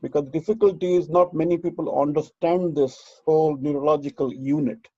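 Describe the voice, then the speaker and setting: A man moderating an online seminar, lecturing earnestly with halting restarts over dull video call audio. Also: on the high side, fairly even intonation, warm tone, slightly rough, no echo.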